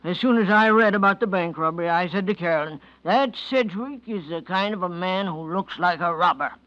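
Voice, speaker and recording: Gullible miserly husband: high-pitched, piercing, stammering, nervy; old radio mystery style. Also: male voice, fairly quick, bright, forceful tone. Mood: nervous. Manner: stammering, protesting, ungrammatical. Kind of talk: 1940s radio drama acting. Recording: muffled vintage radio broadcast recording.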